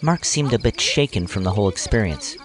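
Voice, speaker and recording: A man's voice: on the low side, lively intonation, brisk, crowd noise. A man reading scripted first-person story narration in a reminiscing style.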